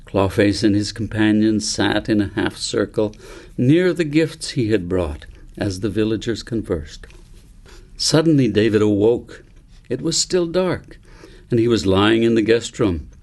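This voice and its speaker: A man narrating a novel as its author, in measured, deliberate phrases with pauses. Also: lowish voice, a little mellow. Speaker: author reading his novel. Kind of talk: scripted audiobook narration.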